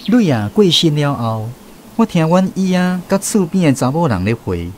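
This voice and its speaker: A man's voice: fairly high pitch, sing-song, normal speed, a little hiss or hum. A man with a Taiwanese accent narrates a radio drama as a first-person storyteller.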